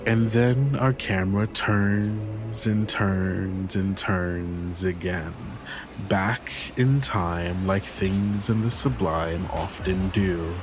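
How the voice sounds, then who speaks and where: A man's voice: low voice, drawn-out words, noisy background. A man narrating a tabletop story as game master, in a descriptive, dramatic storytelling manner.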